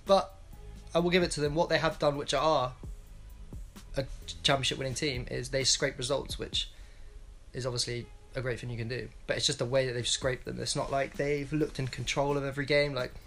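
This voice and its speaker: A man's voice: mid-range voice, fairly even intonation, fairly quick, creaky, a bit bright. Young guy in his 20s, blunt football rant, earphone mic in a small room, music faint behind. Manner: blunt, opinionated, rambling with restarts. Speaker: football pundit vlogger. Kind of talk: casual solo football analysis vlog. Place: small room indoors at home. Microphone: wired earphone mic.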